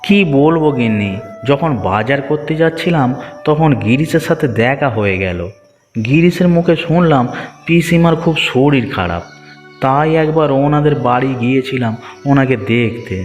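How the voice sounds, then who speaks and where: A man's voice: mid-range voice, lively intonation, normal speed, close to an everyday voice. A man playing a dutiful, good-natured village family man in a moral-story cartoon, earnest and familiar in manner. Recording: reverberant hall effect, music behind.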